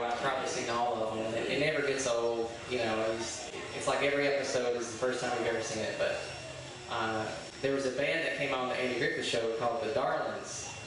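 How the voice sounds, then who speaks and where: A man in an army band chatting quickly to an outdoor audience between songs, rambling with fillers. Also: mid-range voice, background noise. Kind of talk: on-stage song introduction. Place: outdoor concert stage in a park. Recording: echoey, distant live concert recording. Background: band instruments.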